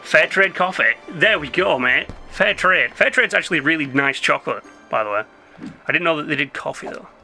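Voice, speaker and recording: A young man giving rambling, casual commentary while playing a city-building game, his voice going hoarse. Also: a little high, lively intonation, brisk, sharp tone, faint music behind. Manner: chatty, rambling, self-interrupting. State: losing his voice. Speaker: gameplay commentator.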